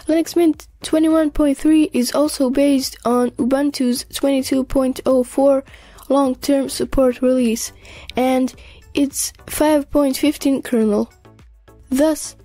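High voiced lady reading a scripted tech update, soft mellow tone, measured, bit of music behind. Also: no room sound. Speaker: narrator of a tech news video. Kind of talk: scripted narration.

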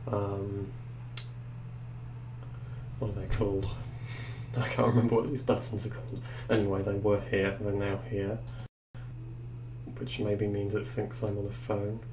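A young man with a deep, low voice talks through a screen recording, thinking aloud with ums. His delivery is flat and unhurried. A steady hum and noise sit under the recording. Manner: hesitant, thinking aloud with fillers. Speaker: tech reviewer. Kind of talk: casual screen-recorded software review. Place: indoors at a computer. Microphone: desktop microphone.

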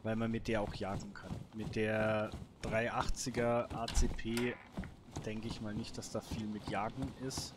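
A man's voice: lowish voice, flat delivery, measured pace, background noise. A man giving casual gameplay commentary, thinking aloud in a colloquial, musing way. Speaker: gamer, let's play host.

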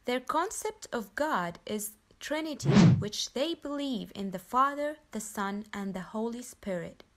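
A young woman from Nepal reading her personal story aloud in an earnest, measured way. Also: on the high side, lively intonation, fairly bright tone. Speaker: convert telling her story. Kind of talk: scripted personal narration.

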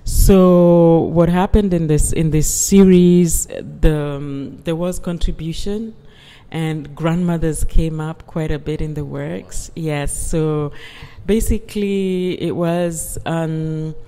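Guest artist, woman from East Africa, mellow and soft-spoken, reverberant room sound. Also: low-pitched, fairly even intonation, slow pace, clear, resonant tone. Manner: soft-spoken, repeats yes often. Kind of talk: interview conversation. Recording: long reverb.

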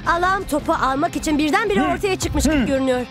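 Lady doing cartoon soccer co-commentator, high voice, presenter style, music behind. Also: fast, close to an everyday voice. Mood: observant. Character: polished female match co-commentator. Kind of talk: sports commentary in a cartoon dub.

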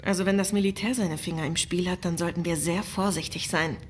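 A woman playing an outspoken, compassionate crew member in a sci-fi audio drama, frank and expressive. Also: fairly low pitch, fairly quick, lightly acted. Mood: concerned.

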